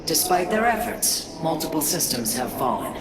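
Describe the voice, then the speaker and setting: A woman's voice: deep voice, sing-song, moderate pace, heavy background noise. A woman voicing a grave alien officer in a video game, reporting in a formal military manner with an echoey, distant sound. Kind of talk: dramatic video game acting.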